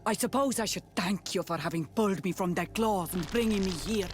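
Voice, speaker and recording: An actress playing a proud, wary island healer in a game, speaking solemnly and formally with bitterness. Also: female voice, mid-range voice, a little fast, close to an everyday voice. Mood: wary, reluctant. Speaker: non-player character. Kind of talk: scripted game dialogue. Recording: game music behind the voice.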